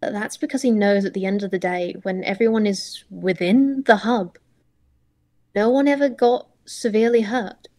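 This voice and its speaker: A woman improvising story narration for a roleplay, in reflective, halting phrases. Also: medium pitch, darkish tone.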